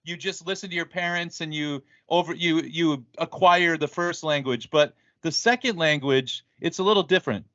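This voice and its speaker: A man's voice: on the high side, moderate pace, hardly any pauses, fairly bright tone, no room sound. An American man talking thoughtfully and measuredly in casual conversation, with light laughs. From United States. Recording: dull lo-fi, dry audio.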